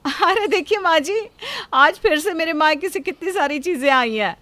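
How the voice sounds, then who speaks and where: A woman's voice: extremely high pitch, animated, moderate pace, sharp tone, dry recording, pitched above the performer's own voice, heavily acted. A woman playing a haughty, boastful sister-in-law who gloats and taunts in a family moral story. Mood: taunting.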